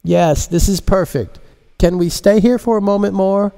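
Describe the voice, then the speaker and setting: A man's voice: high voice, normal speed, gravelly, warm tone, clear, resonant tone, voice pushed up. A man voicing a composed, polite female scientist in charge, as a character in an audiobook. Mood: pleased.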